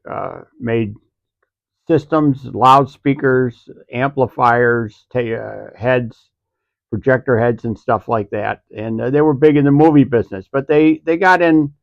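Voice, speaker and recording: A man co-hosting, explaining history off the cuff in a halting, conversational way. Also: medium pitch, dry recording. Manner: halting, pieces phrases together conversationally. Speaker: co-host, vintage audio enthusiast. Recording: dry, slightly dull recording.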